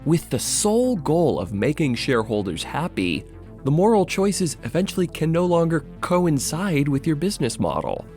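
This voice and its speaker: A man's voice: fairly high pitch, big pitch swings, moderate pace, a little mellow. A man narrating a scripted video essay in an emphatic, dramatic way. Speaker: video essayist and channel host. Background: music.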